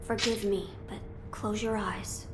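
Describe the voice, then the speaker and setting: A woman voicing an earnest, composed young noble boy in a video game, polite, formal and gently commanding. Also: medium pitch, steady tone, unhurried, natural voice. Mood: gentle, resolute. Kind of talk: video game cutscene acting. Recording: clear background ambience noise.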